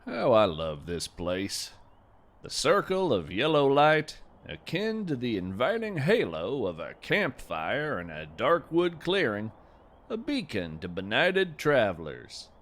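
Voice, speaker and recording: A man playing an earnest, folksy naturalist who narrates audio fiction in florid, wonderstruck, deliberate phrases. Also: mid-range voice, fairly bright tone. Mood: reverent wonder. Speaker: podcast host and narrator. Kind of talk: scripted first-person audio fiction narration.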